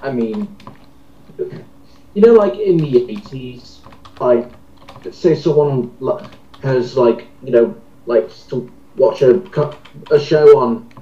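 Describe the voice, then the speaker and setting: A man chatting casually in a group discussion, haltingly searching for his words. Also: mid-range voice, expressive, dark tone. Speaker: discussion participant. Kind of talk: casual chat. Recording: muffled echoey voice chat.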